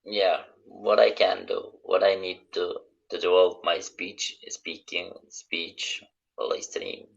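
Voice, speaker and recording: Non-native english guy, slow and halting, low gravelly voice, dull lo-fi call sound. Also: steady tone. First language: not English. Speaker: live-stream guest, hotel receptionist. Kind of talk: casual live chat.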